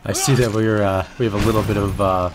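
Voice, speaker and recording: A man's voice: lowish voice, fairly even intonation, quick pace, noisy background. A man giving casual let's play commentary on a video game as he plays. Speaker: gaming video host. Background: game music and effects.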